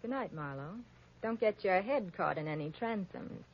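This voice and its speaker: Scheming femme fatale played by an actress. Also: female voice, medium pitch, animated, normal speed, faint music behind, natural voice. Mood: sharp. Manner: coaxing, sharp-tongued. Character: beautiful, scheming femme fatale, coy, flirtatious, hard-edged. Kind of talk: old-time radio drama acting. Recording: dull old radio recording, faint noise.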